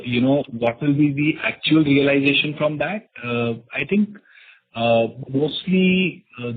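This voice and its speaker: A husky-voiced man with an Indian accent explains business matters on a conference call. He speaks in measured, halting phrases at a middling pitch. The line is narrow and tinny like a telephone. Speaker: company executive answering analysts. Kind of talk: earnings call answers, unscripted explanation.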